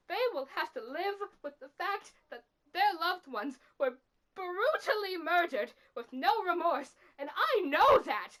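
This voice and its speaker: A woman playing a bitter, remorseful revived killer in a dramatic monologue, speaking in a deliberate, accusing way. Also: very high-pitched, a bit bright, full character voice.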